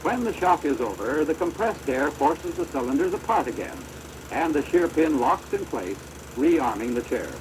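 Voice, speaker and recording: An American man giving formal, measured instructional narration for a training film, on a deteriorated old projector soundtrack. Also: fairly high pitch, sing-song, noisy background.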